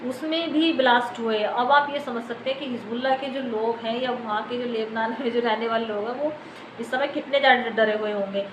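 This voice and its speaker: Indian woman doing off the cuff news commentary, highish voice, wordy and repetitive, really echoey room. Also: steady tone, fairly quick. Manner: explanatory, wordy, repetitive, addressing viewers. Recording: echoey room, clear background noise.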